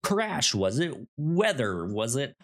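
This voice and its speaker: Man, medium pitch, podcast co-host, casual chat, halting delivery, thinking aloud. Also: sing-song.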